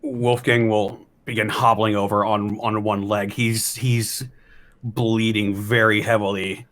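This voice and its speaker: A man playing a tabletop game, describing his character's actions in a halting, understated way. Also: lowish voice, little pitch movement, a bit bright.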